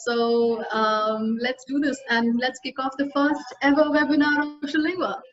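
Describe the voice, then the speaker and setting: A woman organizer opening a webinar, warm and enthusiastic, with many fillers. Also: high-pitched, fairly even intonation, normal speed. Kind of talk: welcoming opening remarks. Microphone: video call. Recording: dull lo-fi video call audio.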